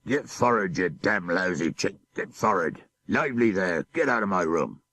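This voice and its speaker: A man's voice: sits low, a little slow, a bit bright, no room sound, exaggerated voice. A man voicing a cruel, threatening old sea captain who barks orders and snarls threats. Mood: menacing.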